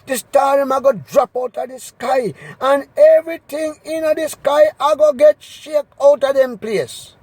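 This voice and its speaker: High-pitched Jamaican man reading scripture. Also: unhurried, hardly any pauses, darkish tone, dry recording, poor audio. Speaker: Bible reader. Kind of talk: expressive scripture reading in Patois.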